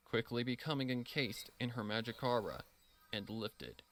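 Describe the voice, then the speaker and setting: A man's voice: mid-range voice, expressive, moderate pace, a bit bright, dry recording. A man reading a scripted story as narrator, with a solemn, dramatic delivery. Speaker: narrator reading fan fiction.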